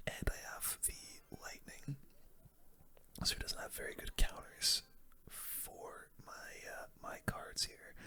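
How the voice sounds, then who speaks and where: A man giving casual, chatty ASMR gameplay commentary in a breathy, unvoiced whisper, talking to viewers as a gaming video host. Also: extremely high pitch, bright, forceful tone.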